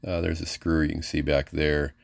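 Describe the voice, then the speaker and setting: A man's voice: rumbling low, level tone, normal speed, vocal fry, dry recording. A middle-aged man giving a casual, unscripted demonstration of equipment in a folksy, hesitant, plain-spoken way. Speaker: ham radio hobbyist presenting a receiver.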